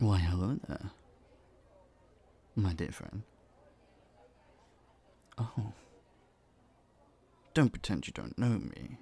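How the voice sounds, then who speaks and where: Low, creaky gentlemanly young prince acted by a man. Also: animated, very slow, pauses often, heavily acted. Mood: teasing, warm. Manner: playful, polite, slightly flustered, hesitant stammers. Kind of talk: romantic audio roleplay, speaking to a listener. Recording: faint noise, background ambience.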